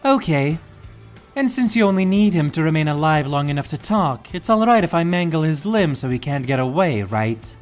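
A woman playing a cocky, sharp-tongued psychic superheroine with a brash, assertive manner in a fan audio drama. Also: sits low, very animated, normal speed, faint music behind. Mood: casually menacing.

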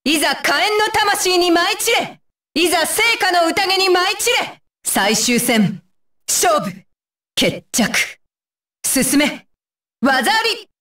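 A woman's voice: very high-pitched, animated, takes it slowly, bright, forceful tone, heavily acted. A woman voicing a stern, dutiful young female ninja bodyguard for an anime game, with clipped, commanding and blunt phrasing. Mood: battle-ready, forceful. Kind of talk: Japanese anime game voice lines.

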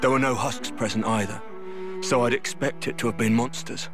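A man plays a matter-of-fact video game scout reporting to his superiors. His voice is medium-pitched and businesslike, with music clearly behind it. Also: fairly even intonation, normal speed, natural voice. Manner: factual, businesslike. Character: matter-of-fact male search party scout reporting back. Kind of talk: video game cutscene acting.

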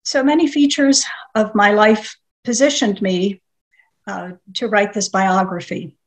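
Older woman, Russian native speaker, unhurried lecturer with many pauses, speaking over a webcam. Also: medium pitch, fairly even intonation. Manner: measured, with frequent filler pauses. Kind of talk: online lecture. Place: home study with bookshelves. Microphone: webcam video call.

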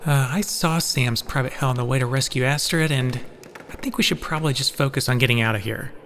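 A man's voice: medium pitch, a little fast, fairly bright tone, slight background noise, lightly acted. A man playing a nervous, reluctant companion in an audio drama, speaking in a casual, hesitant way. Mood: uneasy.

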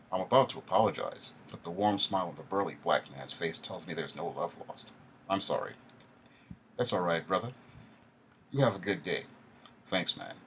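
A man in his thirties reading his own novel aloud in a steady first-person narration, recorded at home on a laptop mic. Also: low voice, a little hiss or hum, poor audio.

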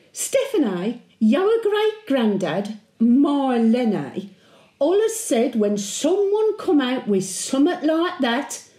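An actress playing a chatty, sentimental Birmingham widow of over a hundred in a homely, confiding monologue. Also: female voice, high voice, warm tone, roomy sound, exaggerated voice. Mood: wistful, chatty. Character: 101-year-old widowed Brummie great-grandmother, chatty, sentimental, plain-spoken. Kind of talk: dramatic monologue, intimate storytelling.

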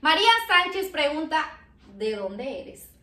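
Very high-pitched jokey woman, echoey room. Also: big pitch swings, a little slow, pushed and bright. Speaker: guest interviewer. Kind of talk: casual playful chat. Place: home kitchen and living room. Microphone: camera a few meters away. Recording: echoey, distant, faint noise.

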